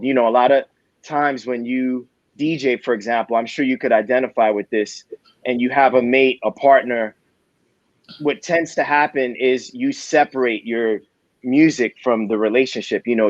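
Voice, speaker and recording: A man from New City, talking candidly as a guest DJ in a casual interview, relaxed and full of street slang. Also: medium pitch, no echo. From New York City. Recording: dry, dull lo-fi audio.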